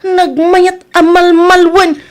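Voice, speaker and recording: An actress plays a nosy village woman in a radio comedy drama. Her voice sits high and she draws her words out slowly, chatty and full of teasing. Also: flat delivery. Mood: indignant, scheming.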